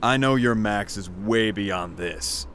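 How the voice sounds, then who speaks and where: Guy doing a laid-back supportive buddy, slow and a bit mocking, slight background noise. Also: fairly low pitch, a bit bright. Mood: teasing, soothing. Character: easygoing, teasing, supportive male comrade.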